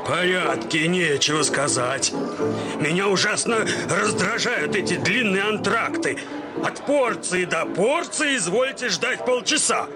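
Demanding gentleman glutton in a radio play, high voice, takes his time, lordly, music loud behind. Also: animated, a little slow. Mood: grumbling. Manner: leisurely, lordly, hemming over his orders. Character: hearty, demanding Russian gentleman glutton ordering food. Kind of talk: acted radio short story.

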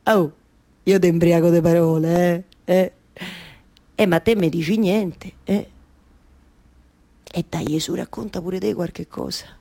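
An actress playing a weary, talkative weaver wife in a theatrical monologue, speaking colloquially with a Roman accent and repeating phrases haltingly. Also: female voice, on the low side, fairly even intonation, mellow. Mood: coaxing. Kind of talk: theatrical monologue for radio theatre with live music.

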